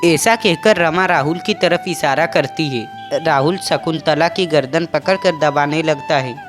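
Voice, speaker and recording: Cartoon narrator, steady and even, music bed. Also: mid-range voice, unhurried. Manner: steady, matter-of-fact telling.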